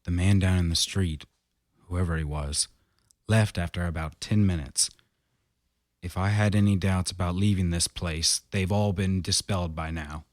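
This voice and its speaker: A man's voice: deep voice, fairly even intonation, normal speed, close to an everyday voice. A man playing an uneasy husband in a radio horror drama, reassuring others while sounding unsettled himself. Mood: uneasy, confiding. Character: uneasy husband on a trip, keeping a diary. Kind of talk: radio horror drama acting with diary narration.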